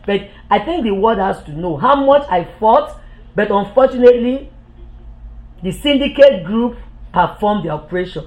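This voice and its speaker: A woman's voice: medium pitch, darkish tone, rough recording. A woman giving an impassioned political monologue, heated and accusatory, repeating herself and stumbling over restarts when agitated.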